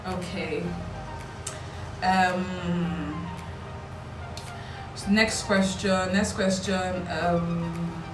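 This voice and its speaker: A young Nigerian woman vlogger talks in a casual, playful way. Her voice sits fairly low for a woman. It is picked up from some distance in a roomy, echoing space, with music behind her. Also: steady tone, very slow, long pauses. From Lagos, Nigeria. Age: 20s. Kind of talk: casual chat to camera answering questions. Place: small indoor room with bare walls. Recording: roomy echo, distant mic, background music.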